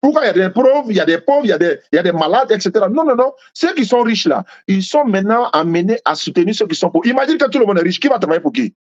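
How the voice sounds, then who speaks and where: A man whose first language is Malinke argues his faith in a heated debate. He repeats himself and talks over the other side, his high voice rising and falling widely. The sound is muffled and lo-fi. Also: big pitch swings, very fast, few pauses. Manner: insistent, repeats points, interrupts.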